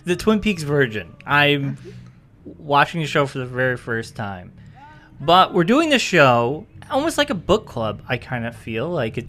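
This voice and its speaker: A man's voice: a little high, a bit bright. A man in his thirties hosting a casual podcast, easygoing and a little rambling, often trailing off with "I guess.". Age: 30s. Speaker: podcast host.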